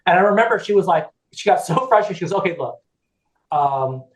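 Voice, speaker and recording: A man's voice: high-pitched, animated, fairly quick, echoey room. A man in his fifties from California, a podcast guest chatting casually, rambling with many restarts and fillers and laughing easily. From United States (California). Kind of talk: casual chat.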